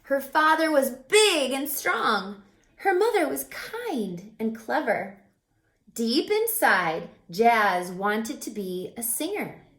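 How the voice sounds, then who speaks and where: A woman reading a children's picture book aloud as a teacher, expressively, pausing to put questions to young listeners. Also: on the high side, big pitch swings, a bit bright, roomy sound.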